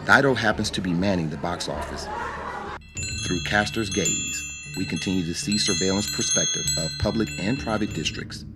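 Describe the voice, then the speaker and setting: Male narrator, deep register, scripted radio drama storytelling over a music bed. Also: moderate pace.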